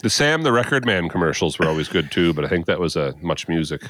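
Guy, lowish voice with lots of swing, dry close mic, podcast co-host. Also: quick pace, no room sound. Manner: animated, nostalgic storytelling. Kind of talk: casual chat.

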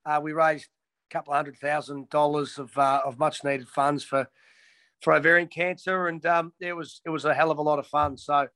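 Hesitant Australian man, flat delivery. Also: a little high, normal speed. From Western Australia. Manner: hesitant, many ums and restarts. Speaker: interviewee, former footballer. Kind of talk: casual interview answers.

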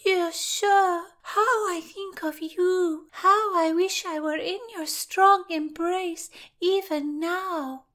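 Super high simpering girl, gushy n slow, swooning audiobook parody. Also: fairly even intonation, fairly bright tone, exaggerated voice. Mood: swooning mockery. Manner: high, gushing, drawn-out. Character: simpering lovesick girl, mockingly exaggerated by a nobleman.

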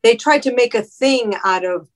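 Actress from Miami, mid-pitched woman, earnest and explanatory in conversation. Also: normal speed. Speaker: guest actress. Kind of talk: conversational interview.

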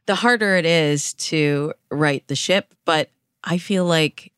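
Low-voiced woman giving expert advice. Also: takes it slowly, fairly bright tone, smooth, dry recording, clean studio sound. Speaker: dating coach, interview guest.

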